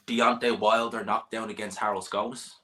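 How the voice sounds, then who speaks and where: A man's voice: fairly low pitch, level tone, normal speed, bright, forceful tone. A man hosting a live boxing chat stream, joking and bantering with a guest. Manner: jokey, banter-heavy, laughs often. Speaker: boxing channel host.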